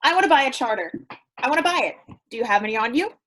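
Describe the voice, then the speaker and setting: High, husky, eager woman recruit acted. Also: expressive, rapid-fire, fairly bright tone, low-quality recording. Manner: slangy, insistent. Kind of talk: staged play reading.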